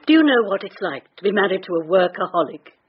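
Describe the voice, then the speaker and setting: An actress playing a bitter, neglected wife with a British accent in a radio drama. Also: female voice, medium pitch, sing-song, fast talker, slightly rough, muffled recording. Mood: bitter, defensive.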